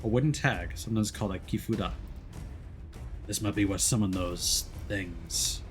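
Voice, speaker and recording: A man's voice: on the low side, normal speed, soft background music. A man streaming a video game, moving between casual commentary and reading story narration aloud.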